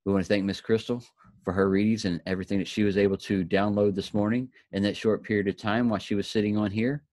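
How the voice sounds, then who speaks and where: A man's voice: lowish voice, fairly even intonation, quick pace, darkish tone, no echo. A man speaking as the host of an inspirational group, giving folksy, encouraging motivational talk.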